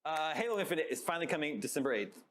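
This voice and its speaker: A man hosting a gaming show, with an upbeat, energetic broadcast delivery. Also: a little high, racing, slight background noise. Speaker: show host.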